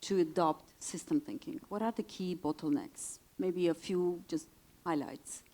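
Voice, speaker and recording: A woman moderates a conference panel, turning to speakers and the floor with friendly, slightly hesitant phrasing. Her voice sits somewhat low and she fills gaps with uh. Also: unhurried, dark tone.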